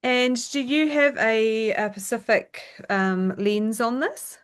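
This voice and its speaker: A woman hosting an interview, asking gentle, hesitant questions. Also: on the high side, takes it slowly, clear, resonant tone. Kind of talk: conversational interview.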